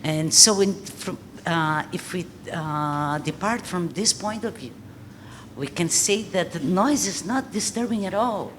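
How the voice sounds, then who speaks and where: A woman, a Portuguese speaker, giving an earnest panel talk in English with many pauses. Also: fairly low pitch, expressive, a little hiss or hum. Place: art gallery talk before an audience.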